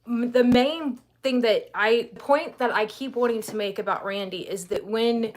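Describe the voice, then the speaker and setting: A woman's voice: fairly high pitch, normal speed. Woman chatting on a livestream. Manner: greets chat viewers by name between remarks. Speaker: livestream co-host. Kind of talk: casual livestream chat.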